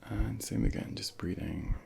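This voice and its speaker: A man softly whispering a medical ASMR role play as a junior doctor, gently reassuring and instructing, close to a binaural microphone. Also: on the low side, moderate pace. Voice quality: breathy whispering, gravelly.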